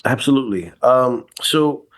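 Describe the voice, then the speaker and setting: A man's voice: mid-range voice, animated, normal speed, fairly bright tone, low-quality recording. A man in his forties from New York City, a podcast guest telling his personal story in a reflective, candid way with frequent restarts. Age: 40s. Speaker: podcast guest, kink educator. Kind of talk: casual interview, personal story.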